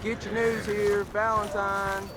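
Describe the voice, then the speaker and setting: An actor playing an eager street newspaper seller in an Old West video game, hawking in a sing-song way. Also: male voice, high-pitched, level tone, slow, very noisy.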